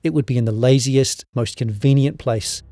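A man reading scripted documentary narration in a measured storytelling manner. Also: medium pitch, steady tone, warm tone, no room sound. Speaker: podcast narrator. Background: background music.

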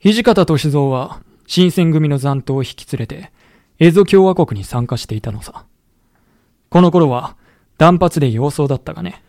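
An actor playing a samurai-era veteran who narrates a historical audio drama in a chatty, wry storytelling manner. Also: male voice, fairly high pitch, expressive, normal speed, darkish tone, no echo, clean studio sound. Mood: wry, familiar. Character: colloquial samurai-era veteran recounting his comrades' lost war. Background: background music.

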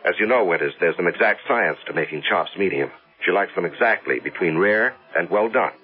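An actor playing an anxious, devoted husband and rich master of the house in an old radio drama, speaking earnestly and emphatically. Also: male voice, low-pitched, expressive, a little fast. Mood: controlled, insistent. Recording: muffled old radio broadcast with music.

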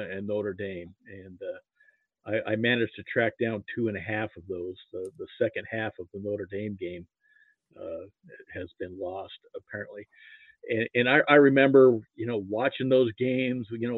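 An American man, middle-aged or older, reminiscing casually as an interview guest in a halting way, often repeating words. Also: mid-range voice, no echo. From Nebraska, United States. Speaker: interview guest, football archivist. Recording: thin phone-like line.

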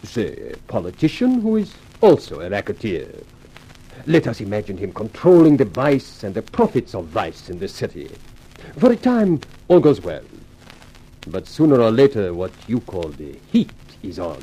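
Suave racketeer, lilting, mellow, husky. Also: male voice, on the low side, wildly swooping pitch, full character voice, low-quality recording. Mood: smooth, insinuating. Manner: formal, measured, urbane phrasing. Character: suave scheming racketeer, European adagio dancer, coolly courteous. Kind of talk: 1950s radio drama acting.

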